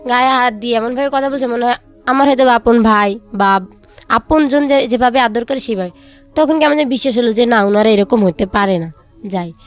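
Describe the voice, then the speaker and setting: A young woman with a Bangladeshi accent tells her life story in an interview. Her voice sits fairly high. Soft music plays behind her. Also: fairly quick. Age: young adult. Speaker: interview guest, student.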